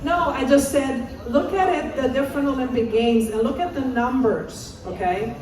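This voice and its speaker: Woman in her 50s, mellow encouraging presenter, heard from a distance in a booming hall. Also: moderate pace, a faint crowd. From Romania. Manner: encouraging, asks audience to call out answers. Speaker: assistant professor and presenter. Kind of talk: interactive conference talk. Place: large conference hall. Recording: echoey hall, voice far off.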